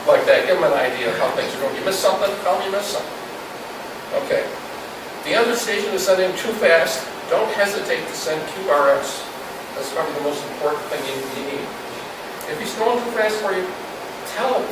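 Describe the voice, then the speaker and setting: A man's voice: high voice, animated, fairly quick. A man giving an informal, reassuring, rambling instructional talk to a club group. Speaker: presenter at an amateur radio club. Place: indoor meeting room with audience. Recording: very echoey, noisy room, distant mic.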